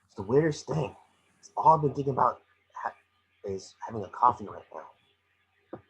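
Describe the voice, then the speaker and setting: A man playing a friendly detective partner and father in a scripted table reading, with a casual, familiar manner and a husky voice. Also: medium pitch, animated, moderate pace, poor audio. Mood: fatherly.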